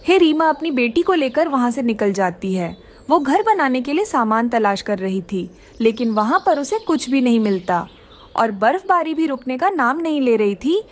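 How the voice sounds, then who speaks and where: A woman's voice: sits high, sing-song, normal speed. A woman giving scripted narration for a children's cartoon story.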